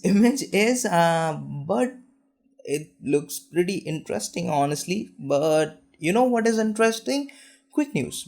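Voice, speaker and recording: A young man runs through the week's tech headlines in a casual, chatty way. His voice sits a little high for a man, and he trips over restarts and jokes at his own expense as he goes. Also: a little mellow. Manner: chatty, self-deprecating, with frequent restarts and asides. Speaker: tech news show host. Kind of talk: casual tech news roundup.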